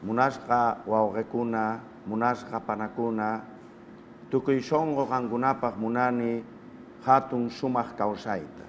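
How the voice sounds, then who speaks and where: A man from Bolivia with a Bolivian accent, a native Quechua speaker, speaking as an ambassador in a measured, ceremonious way. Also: fairly low pitch, slight background noise. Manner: very slow, measured, ceremonious greeting. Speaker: ambassador, interview guest.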